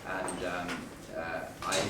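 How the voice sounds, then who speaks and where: A man with a Finnish accent gives a talk to an audience, his voice hoarse from a flu and somewhat low. He stops and restarts words, then pushes up for emphasis. He is picked up from a distance in an echoey room over a steady background noise. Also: slow pace. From Finland. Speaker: management consultant presenter. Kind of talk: presentation to an audience.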